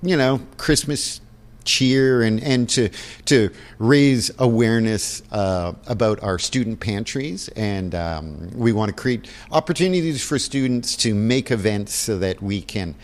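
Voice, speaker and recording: Halting man in a reverberant space. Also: fairly low pitch. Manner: halting, thinking aloud with repeated words. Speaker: interview guest, theatre production organizer. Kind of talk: conversational interview answers.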